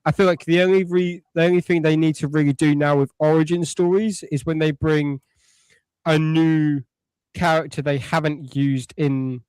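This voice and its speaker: A man's voice: on the high side, steady tone, normal speed, darkish tone, clean tone, dry recording, low-quality recording. A man giving his opinions as a podcast co-host, in earnest, explanatory casual chat.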